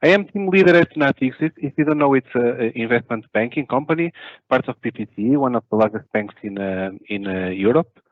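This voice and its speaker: Portuguese-accented man in his 30s, halting conference speaker, dry muffled webcam audio. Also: mid-range voice, animated, no echo. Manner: halting, many filler uhs and restarts. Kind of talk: online conference talk. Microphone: video call webcam.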